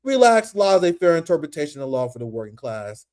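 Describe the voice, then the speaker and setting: A young man hosting a casual political commentary stream, animated and profane, laughing often. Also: a little high, a little fast.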